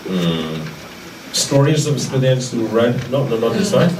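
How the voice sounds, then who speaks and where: A man with an Indian accent and Manipuri as his first language gives an informal talk, haltingly searching for words and repeating phrases. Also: mid-range voice, big pitch swings. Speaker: guest lecturer. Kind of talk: informal public talk with audience questions. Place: indoor lecture room. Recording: echoey room, distant mic, faint noise.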